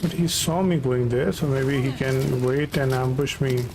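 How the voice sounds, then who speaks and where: Male game streamer: casual play-by-play, mid-range voice, desktop mic, loud soundtrack behind. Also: mid-range voice, fairly even intonation, normal speed, music in the background, low-quality recording. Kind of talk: casual live gameplay commentary. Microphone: desktop USB microphone.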